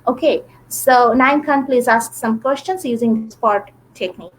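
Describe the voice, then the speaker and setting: High-voiced woman teaching online. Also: moderate pace, a little hiss or hum, low-quality recording. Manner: patient, explains step by step. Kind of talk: live online class. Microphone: video call.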